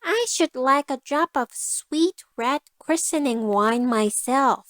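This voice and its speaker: A woman's voice: high-pitched, animated, slow, a bit bright, no echo, studio quality, exaggerated voice. A woman voicing a small, trusting female mouse in a storybook, eager and full of exclamation. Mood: eager, agreeable.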